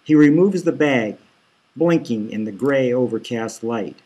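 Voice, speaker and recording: A man reading his own dark satirical novel aloud as a scripted audiobook, in a deliberate, measured way. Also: medium pitch, lively intonation, husky, low-quality recording.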